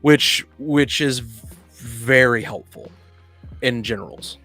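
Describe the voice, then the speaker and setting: A man in a casual podcast discussion, explaining his thinking in a halting, explanatory way. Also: mid-range voice, bright, forceful tone, soft background music. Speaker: podcast speaker on tabletop gaming.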